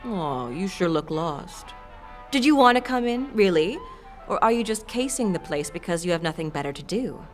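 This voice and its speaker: A woman acts out an imperious fiend merchant lady from a fantasy audio drama. Her voice sits low and swoops dramatically, haughty and slyly teasing, with faint music behind. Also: lively intonation, lightly acted. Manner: haughty, theatrical, teasing, drawn-out emphasis. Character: imperious, sly bat-winged fiend shopkeeper woman.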